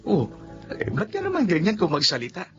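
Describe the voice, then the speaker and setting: A man's voice: sits high, wildly swooping pitch, fairly quick, a bit bright, soft background music, exaggerated voice. An actor playing a sincere young man who speaks respectfully to his father in a radio drama. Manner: polite, sincere. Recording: muffled radio-play recording with faint noise.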